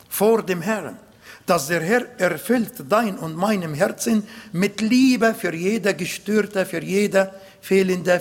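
A man preaching a sermon in German with an Arabic accent, non-native grammar and emphatic, slowly built phrases. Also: high voice, vocal fry, breathy edge. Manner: emphatic, slow, swells louder on key points.

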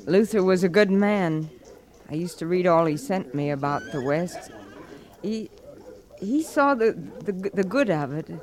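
An actress playing a bitter, wounded but proud frontier widow in a radio western, speaking haltingly under emotional strain. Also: female voice, on the low side, animated, darkish tone. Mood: subdued, wistful. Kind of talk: old-time radio western acting.

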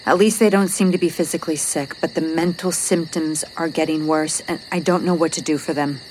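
A woman's voice: low-pitched, fairly even intonation, a little fast, darkish tone, slight background noise, close to an everyday voice, low-quality recording. An actress playing a stressed, weary space mission officer who is protective of her crew, in an audio drama. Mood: worried, weary. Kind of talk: audio drama acting, logged report monologue.

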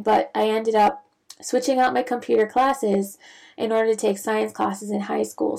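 A young woman from the Bahamas, a physician, telling her career story in a reflective, measured way with frequent fillers. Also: mid-range voice, vocal fry, darkish tone. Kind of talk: casual personal storytelling.

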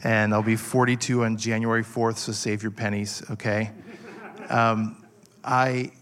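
A man in his forties preaching a passionate sermon, halting with fillers and restarts as he builds his point. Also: on the low side, little pitch movement, fairly bright tone. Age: 40s. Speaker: pastor.

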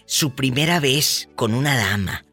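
A man with a Mexican accent hosting a call-in radio show, teasing callers and laughing loudly. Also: mid-range voice, animated, a little slow, slightly rough, faint music behind. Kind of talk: playful radio call-in banter.

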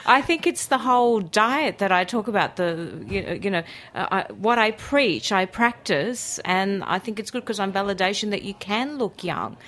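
A woman's voice: medium pitch, expressive, normal speed, hardly any pauses, a bit bright. A woman with an Australian accent whose first language is Greek, telling her story enthusiastically as a guest in a casual interview. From Greece and Australia. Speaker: radio interview guest, cookery teacher and food writer.

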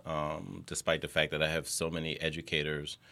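Very low-voiced American man, informal. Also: little pitch movement, brisk, gravelly, pushed and bright. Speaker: interview guest, education leader. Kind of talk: casual conversational interview.

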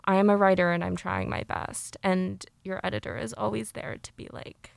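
Gravelly-voiced young woman interviewer. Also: mid-range voice, steady tone, normal speed, dry recording. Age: young adult. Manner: chatty, self-deprecating. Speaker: producer and interviewer. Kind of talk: casual interview.

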